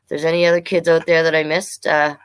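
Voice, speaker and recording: A woman with a Canadian accent reading picture books aloud to children, playful and expressive, with chatty asides. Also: low-pitched, fast talker, clean tone. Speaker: drag performer hosting children's storytime.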